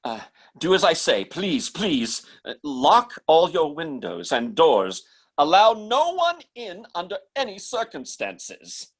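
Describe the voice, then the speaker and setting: A man plays a nightclub mind reader in an old radio play. His voice rides high and swoops widely as he stammers and halts with theatrical urgency. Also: huge pitch swings, slow, heavily acted. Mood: urgent, pleading. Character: agitated nightclub mind reader, showy on stage, urgent offstage. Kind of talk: old-time radio drama acting.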